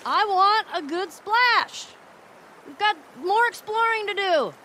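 A woman's voice: very high-pitched, expressive, takes it slowly, bright, forceful tone, heavily acted. An actress playing a spirited, cheeky teenage girl with an American accent in a naturalistic video game, talking in a casual, teasing way. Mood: excited, goading. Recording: outdoor ambience and water noise.

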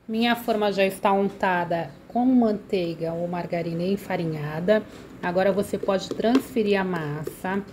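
A woman's voice: mid-range voice, moderate pace, noisy background. A woman hosting a home cooking channel, walking through a recipe step by step in a friendly way, fond of diminutives. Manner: friendly, uses many diminutives.

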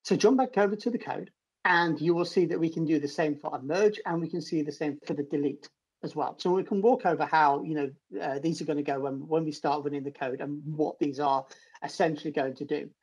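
A middle-aged British man with a husky voice explains a technical demo step by step in an informal screen-share tutorial. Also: on the high side, brisk, a little mellow, rough recording. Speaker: developer advocate giving a technical demo. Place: home room, indoors.

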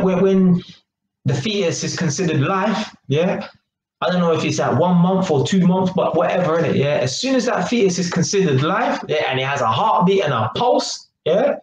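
Animated young man, echoey kitchen. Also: sits high. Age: 20s. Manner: animated, slangy, keeps asking "yeah?" and gesturing. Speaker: vlogger. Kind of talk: casual monologue to camera. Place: small kitchen indoors. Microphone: webcam at arm's length. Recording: echoey kitchen, faint noise.

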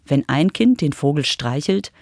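A woman narrates a children's radio feature from a script. Her voice sits a little low and is recorded very dry, with no room around it. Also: animated, unhurried, creaky, a little mellow, dry recording. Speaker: reporter narrator.

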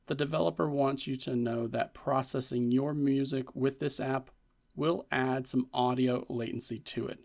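A man narrating a scripted tech tutorial as its host, in a measured, explanatory manner. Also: mid-range voice, vocal fry, darkish tone.

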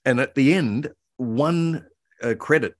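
An older man around seventy, reminiscing in an interview in a halting way, repeating words as he thinks. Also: medium pitch, dry recording, clean studio sound. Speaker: guest expert, author and voice actor. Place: indoor room by a window, video call.

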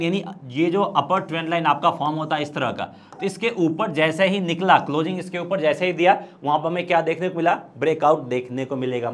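A man in his thirties with an Indian accent, giving an explanatory trading lesson and answering his own questions as he goes. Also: high-pitched. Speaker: stock trading instructor. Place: indoor studio, presenting at a screen. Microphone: lapel.

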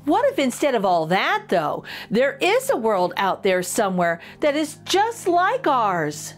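A middle-aged woman hosting a children's storytime, reading a picture book aloud with expressive, exaggerated intonation. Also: fairly high pitch, unhurried, faint music behind. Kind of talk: animated read-aloud for young children.

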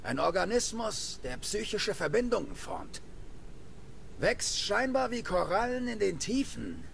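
An actor playing a laid-back, roguish space scavenger in a video game, with a gravelly voice and a drawling manner. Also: male voice, high-pitched, sing-song, a little slow, a bit bright, a little hiss or hum, exaggerated voice. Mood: wry, casual. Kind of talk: video game cutscene acting.

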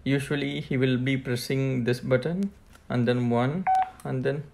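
Man with a creaky voice, giving setup instructions. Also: mid-range voice, fairly even intonation, measured pace, background noise. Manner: step-by-step, plain instructional. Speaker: tutorial presenter. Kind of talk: hands-on product setup walkthrough.

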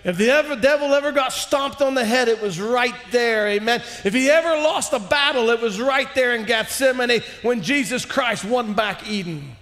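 A man in his forties preaching a sermon in a church on a headset mic, impassioned, rising to shouts and dropping low and quiet. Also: moderate pace, hardly any pauses. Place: large church sanctuary, indoors. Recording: long hall reverb.

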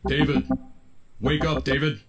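A man's voice: a little high, unhurried, lightly acted. A man voicing an earnest, dutiful companion to a superhero in a comic book dub. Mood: urgent, dutiful. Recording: loud music behind the voice.

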